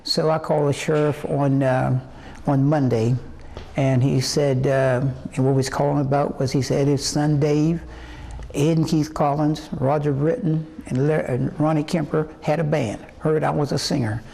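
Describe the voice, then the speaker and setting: A man in his eighties from West Virginia, a singer telling his life story in an interview in a halting, rambling way full of fillers and restarts. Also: a little high, flat delivery, gravelly, dark tone. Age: 80s. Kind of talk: reminiscing interview answers.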